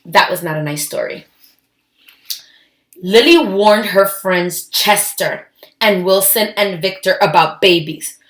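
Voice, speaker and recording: A woman reading a storybook aloud to children, expressive and emphatic, adding her own asides between the lines. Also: medium pitch, slow pace. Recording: echoey, roomy sound.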